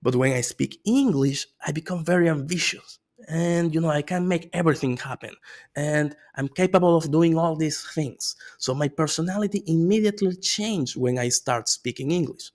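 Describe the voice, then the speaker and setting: Gravelly man lecturing. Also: a little high, normal speed. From Venezuela. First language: Spanish. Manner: energetic, conversational, frequent "okay?" and "right?" tags. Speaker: AI consultant presenting a course. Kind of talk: informal online lecture.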